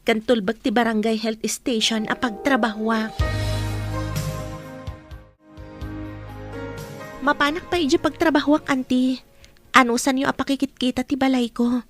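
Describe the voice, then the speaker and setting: A woman's voice: high-pitched, steady tone, moderate pace, lightly acted. A woman playing a caring young village health worker in a radio drama. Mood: concerned.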